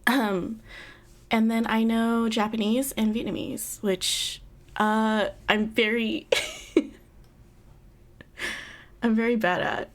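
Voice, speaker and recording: A woman's voice: fairly high pitch, fairly even intonation, takes it slowly, pauses often. A young woman chatting casually on a livestream, giggling often and rambling through restarts. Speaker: streamer. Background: faint background music.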